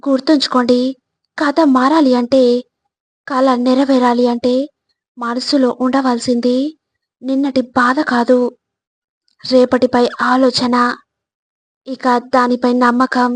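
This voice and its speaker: A woman reading scripted motivational narration in a measured, instructive way, addressing the listener directly. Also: high-pitched, little pitch movement, no room sound.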